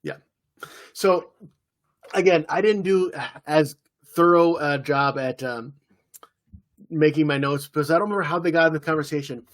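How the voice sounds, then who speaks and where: A man co-hosts a casual podcast and recaps a TV episode at an easy pace. His voice sits a little high, and he drops in loose, playful impressions. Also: moderate pace, long pauses. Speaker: podcast co-host. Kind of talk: casual chat about a TV episode.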